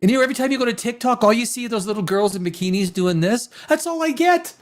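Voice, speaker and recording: A middle-aged man who grew up in Canada, hosting a casual talk show, rambling and animated, restarting sentences and dropping to a near whisper at times. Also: very high-pitched, quick pace. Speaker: host.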